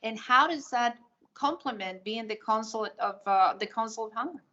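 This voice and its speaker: A woman's voice: fairly high pitch, a little fast, pushed and bright. A woman hosting a TV interview, asking questions in an enthusiastic, affirming way. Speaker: interview host. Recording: dull lo-fi sound.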